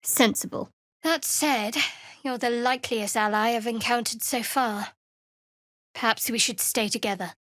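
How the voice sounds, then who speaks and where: A woman voicing a guarded, secretive young cleric of a dark goddess in a fantasy video game, with a measured, dryly cool delivery. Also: a little high, no echo. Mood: guarded, pragmatic. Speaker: video game character actor.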